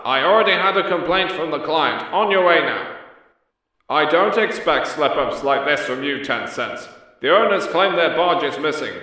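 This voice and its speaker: A man playing a stern cartoon fleet captain, giving brisk orders with authority through an echoing megaphone effect. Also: on the high side, pushed and bright. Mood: stern, displeased. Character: stern, commanding male harbour tug fleet captain. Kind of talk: cartoon character voice acting. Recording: echoing, distant megaphone-style sound.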